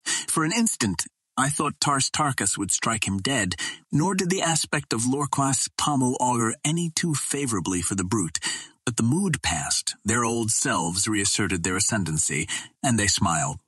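A man narrating an old adventure novel in a measured, theatrical way, as a scripted dramatic reading. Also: medium pitch, expressive, a bit bright, slightly rough, no echo, studio quality. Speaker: audiobook narrator.

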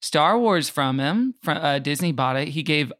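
An American man chatting casually as a comedic podcast guest, riffing with deadpan jokes. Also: on the high side, moderate pace, no echo, clean studio sound.

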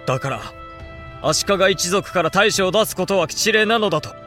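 A man's voice: sits high, normal speed, pushed and bright, soft background music. A man acting an earnest young samurai heir in a period voice drama, urgent, formal and emphatic. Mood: alarmed.

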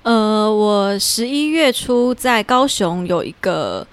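A woman's voice: medium pitch, big pitch swings, slow. A woman with a Taiwanese Mandarin accent, chatting casually as a podcast guest. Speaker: guest, theatre worker.

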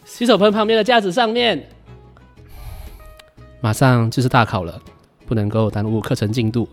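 A man's voice: medium pitch, expressive, normal speed, soft background music, lightly acted. A man with a Malaysian accent playing an earnest, easily flustered math teacher in a Chinese radio drama, stern but caring. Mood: businesslike. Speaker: amateur voice actor.

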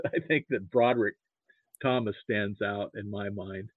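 Muffled, phone-like man reminiscing, rambling and halting. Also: lowish voice, steady tone, no room sound. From Nebraska. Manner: rambling, halting, repeats words. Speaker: interview guest, sports history archivist. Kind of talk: casual reminiscing about football.

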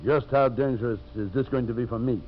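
A man's voice: medium pitch, fairly even intonation, fairly quick, soft background music, close to an everyday voice. An actor playing a shady logger henchman in a 1950s radio drama. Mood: sly, cautious. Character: shady logger henchman, timber boss for hire. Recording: muffled, hissy old radio recording with hum.

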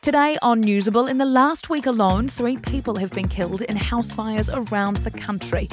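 A woman reading a brisk, upbeat scripted promo for a news podcast. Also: a little high, music in the background.

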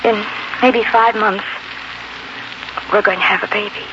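Actress as an anxious young frontier wife. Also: female voice, mid-range voice, fairly even intonation, measured pace, lo-fi sound, close to an everyday voice. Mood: tender. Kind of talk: 1940s radio acting. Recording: noisy band-limited 1940s radio recording.

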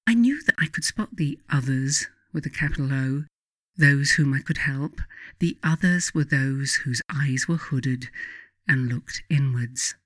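A woman's voice: rumbling low, a little slow, no room sound. British woman narrating, close and dry. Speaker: author reading own book. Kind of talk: scripted audiobook narration of spiritual self-help.

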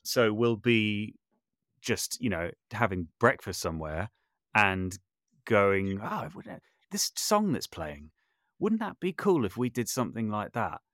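A man's voice: on the low side, very animated, unhurried, a bit bright, no echo, clean studio sound. A man talking casually as an interview guest, thinking aloud and often rephrasing as he goes. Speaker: podcast guest, composer, sound designer and voice artist.

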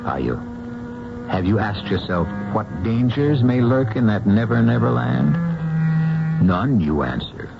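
A man hosting a radio drama with theatrical, deliberate scripted narration and a rhetorical, dramatic manner. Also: on the low side, sing-song, creaky, very noisy. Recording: muffled old radio, loud music behind.

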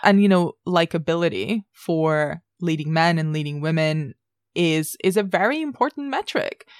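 A woman's voice: low-pitched, expressive, moderate pace, smooth, no room sound, clean studio sound. A woman co-hosting a podcast with considered, articulate film analysis, leaning on key words. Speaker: podcast co-host.

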